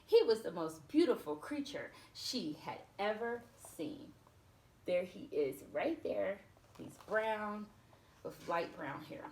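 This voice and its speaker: A woman reading a storybook aloud to children, expressive, with chatty asides spoken straight to her young listeners. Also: medium pitch, slow, echoey room, a little hiss or hum.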